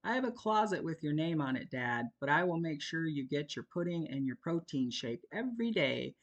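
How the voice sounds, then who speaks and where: A storyteller voicing a cheeky teenage son who teases his parents, pitched up from the reader's own voice. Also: very animated, normal speed, highs cut off. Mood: teasing. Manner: teasing, playful.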